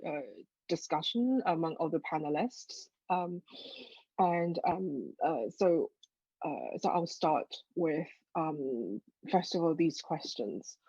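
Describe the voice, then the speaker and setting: A woman moderator with a Hong Kong accent and a low, husky voice addresses a panel. She speaks haltingly, searching for her words, in a dry, slightly muffled recording. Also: warm tone, dry recording. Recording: dry, slightly dull lo-fi audio.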